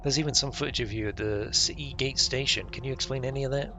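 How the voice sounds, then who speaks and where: A man's voice: medium pitch, quick pace, close to an everyday voice. A man voicing a guarded, uneasy male police lieutenant in a video game, with music behind.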